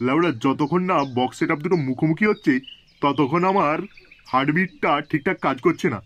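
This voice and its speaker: A man plays a comedy cartoon crew boss. He blusters, swears and threatens, quick to lose his temper. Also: on the high side, fairly bright tone, lo-fi sound, close to an everyday voice. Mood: irritated, bossy. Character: foul-mouthed hot-tempered gang boss of a sound-system crew.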